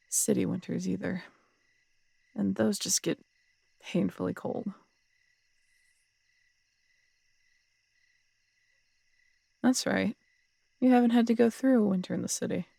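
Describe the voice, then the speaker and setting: Woman acting feisty lady detective in an audio rp, bit of gravel in her voice, teasing, super dry close mic. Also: on the low side, fairly even intonation, normal speed, pauses often, dark tone, no echo, exaggerated voice. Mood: warm, relaxed. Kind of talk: roleplay audio drama, intimate casual dialogue. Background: crickets at night.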